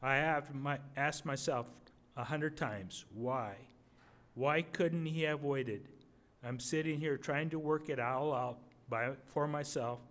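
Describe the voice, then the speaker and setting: Older man reading a letter aloud haltingly. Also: medium pitch. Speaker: readers theater reader. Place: museum gallery, indoors. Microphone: podium microphone.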